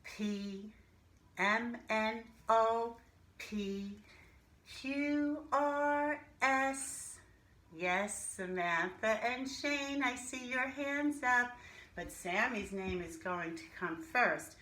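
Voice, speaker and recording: A woman teacher leading an interactive alphabet lesson for young children, speaking deliberately in a sing-song way and addressing pupils directly with pauses. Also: fairly high pitch, expressive, pushed and bright. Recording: echoey room with light background noise.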